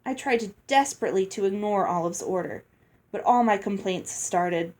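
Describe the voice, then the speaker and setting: A woman reading a children's novel aloud as an expressive storybook narrator. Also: mid-range voice, moderate pace. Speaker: audiobook reader.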